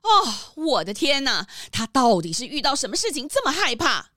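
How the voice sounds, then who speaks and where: A woman voicing a polite, patient woodpecker secretary in a children's detective audio drama, soothing and expressive. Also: high-pitched, normal speed. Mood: concerned.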